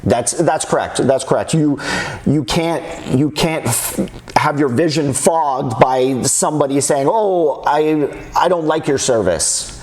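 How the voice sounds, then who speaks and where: A man's voice: a little high, a little slow, a little mellow. A young man from Canada, a business owner talking casually in an interview, hesitant with many restarts.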